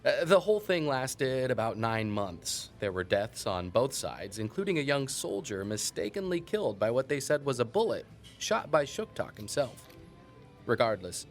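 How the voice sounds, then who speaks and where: A man's voice: medium pitch, natural voice. Male actor as talkative local historian for audio fiction; mid-range voice, relishes old lore, folksy and dryly amused, given to tangents. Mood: wry, amused. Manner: folksy, wry, digressive, with thoughtful asides. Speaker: town historian. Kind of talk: conversational storytelling in an audio drama.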